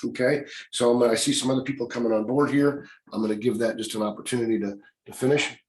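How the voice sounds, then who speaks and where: A man with a slightly raspy voice, teaching a live online class in a casual, encouraging way that keeps checking in with "okay?". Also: mid-range voice, fairly even intonation, quick pace. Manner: folksy, encouraging, repeats "okay?". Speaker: real estate investing teacher. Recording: echoey room sound.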